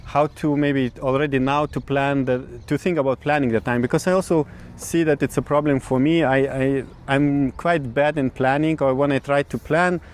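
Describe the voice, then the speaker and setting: A man in his thirties from Lithuania, speaking English with a Lithuanian accent in casual conversation, often pausing to search for words. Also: mid-range voice, fairly even intonation. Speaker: podcast co-host. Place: outdoors in a city.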